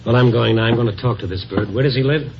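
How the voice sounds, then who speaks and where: An actor playing a calm, dry-witted newspaper reporter in 1950s radio style. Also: male voice, medium pitch, fast, noisy background, lightly acted. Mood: calm, wry. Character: wry, steady night-beat newspaper reporter. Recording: dull old radio broadcast with music.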